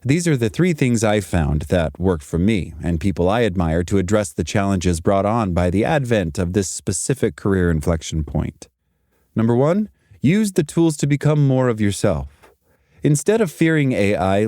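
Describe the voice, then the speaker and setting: Mellow man, motivational talk. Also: lowish voice, lively intonation, no room sound, clean studio sound. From Zimbabwe and South Africa. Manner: emphatic, deliberate, short punchy sentences. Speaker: podcast host and career speaker. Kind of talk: scripted motivational career talk.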